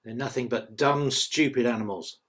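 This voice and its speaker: A battle-weary teenage boy played by a man who sounds older than his years, medium in pitch. He is confident and dismissive, a defiant son in a horror drama. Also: moderate pace, a bit bright, natural voice. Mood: grim confidence. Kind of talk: dramatic script table read.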